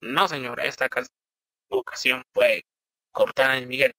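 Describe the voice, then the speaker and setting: Nervous young lackey put on by a man with a voice changer, raspy and bright. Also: wildly swooping pitch, unhurried, no room sound, heavily acted. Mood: timid. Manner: timid, apologetic. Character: nervous young male underling "Miguel". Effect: voice changer pitch shift.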